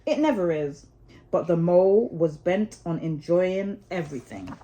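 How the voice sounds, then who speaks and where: A woman's voice: lowish voice, sing-song, a little slow, mellow. A woman storyteller reading a children's storybook aloud expressively, dwelling on key words for dramatic emphasis.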